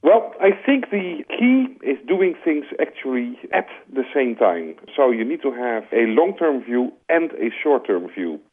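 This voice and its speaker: A man's voice: mid-range voice, big pitch swings, a little slow, few pauses. A man with a Dutch accent answering interview questions, explaining his points conversationally with analogies and "like" fillers. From Netherlands. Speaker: interview guest, corporate strategy executive. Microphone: telephone. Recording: tinny phone line.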